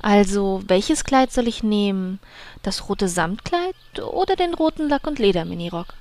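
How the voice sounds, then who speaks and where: A woman's voice: mid-range voice, lively intonation, normal speed, darkish tone, close to an everyday voice. A woman playing a vain, haughty blood elf with a catty manner in a comedy fantasy audio drama. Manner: haughty, bitchy.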